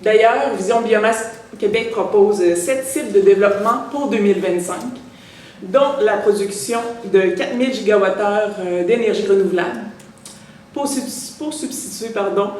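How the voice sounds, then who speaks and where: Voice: mid-range voice, a little mellow, recorded from a distance, a little hiss or hum. A speaker delivers prepared remarks at a press conference, hesitating now and then and correcting a slip. The voice sits well back from the microphone. The room is strongly echoey, with faint ambience underneath. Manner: reads prepared points, hesitant. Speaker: biomass group representative at a press conference. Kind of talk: formal press statement.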